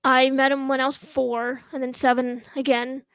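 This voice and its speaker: A woman in her thirties from Russia, hosting a casual home chat show and talking in a slow, halting way with many pauses. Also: high voice, little pitch movement, a bit bright, clean tone, dry recording. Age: 30s. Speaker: host.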